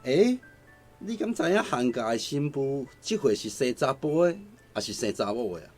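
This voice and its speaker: An actress playing a nosy village neighbour gossiping in Taiwanese Hokkien, exclamatory and teasing, in a radio drama. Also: female voice, very deep voice, sing-song, moderate pace, darkish tone, faint music behind, full character voice. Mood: nosy, amused.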